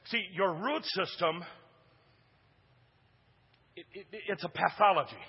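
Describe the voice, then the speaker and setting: A man preaching a sermon as pastor in a church, with a big booming voice that swings from hushed asides to shouting and calls out to the congregation for responses. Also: takes it slowly, breathy edge, long reverb tail, muffled recording. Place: church sanctuary.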